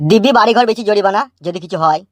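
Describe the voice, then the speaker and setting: A man's voice: sits high, racing, dry recording, natural voice. An actor voicing an anxious, scolding old cartoon father with a rural West Bengal Bengali accent, nagging and repeating words. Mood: exasperated scolding. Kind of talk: comic cartoon dubbing.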